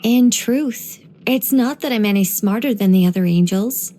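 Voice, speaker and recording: A woman voicing a gentle, wise young angelic woman in game voice lines, soft and wryly musing. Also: medium pitch, normal speed, lightly acted.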